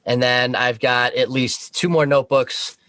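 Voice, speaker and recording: A man speaking as an interview guest, informal and halting as he thinks aloud about his creative work. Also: medium pitch, fairly even intonation, fairly bright tone, dry recording. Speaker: guest, audio horror series creator. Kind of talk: casual interview talk.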